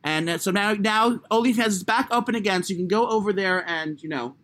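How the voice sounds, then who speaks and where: A man with a high voice co-hosting a casual comedy podcast, crude and self-deprecating in his humour. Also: brisk, a bit bright. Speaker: podcast co-host. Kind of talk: casual comic chat.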